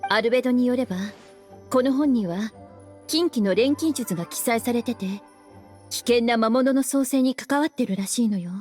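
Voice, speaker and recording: A woman's voice: a little high, fast talker, close to an everyday voice. A woman playing a composed, knowing adult librarian in a video game, explaining things in a feminine manner. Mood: serious. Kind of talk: Japanese video game voice acting. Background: soft game music with jingles.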